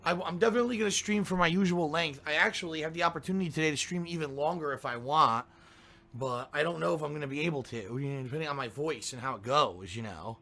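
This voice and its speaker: A man with a cold, hoarse and congested, chatting casually to his viewers before a game stream, grumbling and ranting and clearing his throat often. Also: fairly high pitch, quick pace, pushed and bright.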